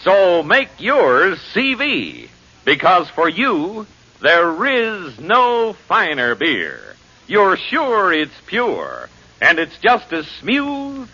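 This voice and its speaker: Male 1940s radio ad voice, drawn-out rhythmic slogan, bright tone, muffled recording. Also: high voice, wildly swooping pitch. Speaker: commercial jingle spokesman.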